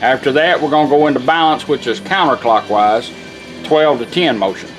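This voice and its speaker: A man's voice: mid-range voice, normal speed, faint music behind, low-quality recording. A man giving an informal, folksy product demonstration, explaining how things work as he goes. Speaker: radio restorer demonstrating a product.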